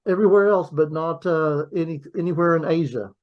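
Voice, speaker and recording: A man hosting a podcast, chatting in a friendly, halting way and often repeating words. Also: on the high side, muffled recording. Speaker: podcast host. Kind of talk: casual interview chat.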